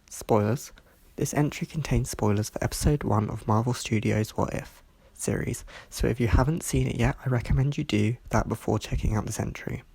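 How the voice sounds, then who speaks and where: A reviewer reading a scripted review in a measured way, sounding stuffy from hay fever. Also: mid-range voice, creaky, a little mellow, breathy edge, no echo. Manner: measured, reads from a script with small slips. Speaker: video reviewer.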